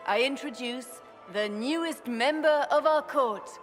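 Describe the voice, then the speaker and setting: An actress playing a regal, commanding empress in a fantasy game, speaking formally and imperiously. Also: female voice, high-pitched, measured pace, faint music behind. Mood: proclaiming. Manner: stately, imperious.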